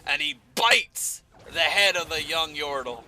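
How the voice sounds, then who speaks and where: A man doing play-by-play esports commentary, excitable and playful, with dramatic phrasing and casual banter. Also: a little high, measured pace.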